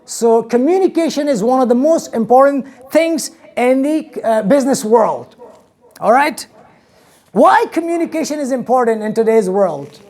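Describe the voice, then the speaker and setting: A man's voice: extremely high pitch, moderate pace. An Afghan-accented man in his thirties lectures a class in a small classroom, gesturing and throwing questions at his students. His voice is picked up by a camera across the room. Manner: animated, gestures widely, asks the class questions. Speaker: English teacher.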